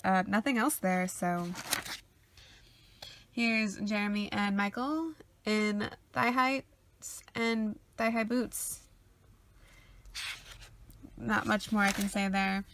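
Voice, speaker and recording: A woman's voice: mid-range voice, a bit bright, a little hiss or hum. A sniffly woman rambling casually with lots of ums and uhs as she shows her sketchbook. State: sniffly after a cold. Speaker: artist showing her sketchbook.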